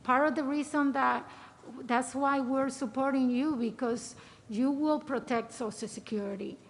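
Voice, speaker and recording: A woman's voice: sits high, steady tone, measured pace. A middle-aged woman, a retired Coast Guard lieutenant, reading a prepared personal statement hesitantly, with many filler sounds. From Dominican Republic.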